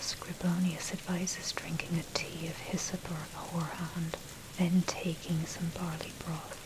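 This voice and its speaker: A woman reading a scripted article in a breathy, unvoiced ASMR whisper, with measured, articulate, bookish delivery. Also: fairly low pitch, low-quality recording. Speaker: narrator reading own dark history article. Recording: steady noise, rain and thunder behind. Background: rain and thunder.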